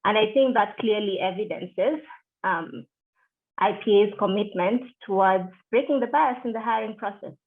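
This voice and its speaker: A middle-aged woman from Kenya answers interview questions over a video call. She talks in a measured way, pausing on fillers and restarting her phrases. Her voice comes through thin and tinny, as over a call line. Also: medium pitch. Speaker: interviewee, deputy country director.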